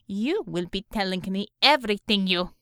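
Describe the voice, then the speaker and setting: A woman's voice: medium pitch, animated, moderate pace, sharp tone, smooth, no room sound, clean studio sound. A woman doing a menacing Russian female commissar. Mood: mock sweet. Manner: broken English, taunting, threatening.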